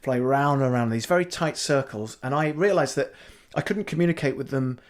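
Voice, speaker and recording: A man improvising a fake life story as a comedy podcast guest, rambling in a deadpan way with many restarts. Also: mid-range voice, brisk. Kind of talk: improvised comic interview.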